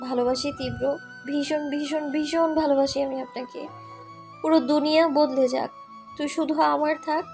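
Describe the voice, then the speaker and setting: Woman acting a high-voiced, adoring young wife. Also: steady tone, moderate pace, a little mellow, soft background music. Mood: loving. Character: playful, adoring young wife, proud of her husband. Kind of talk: audio love story acting.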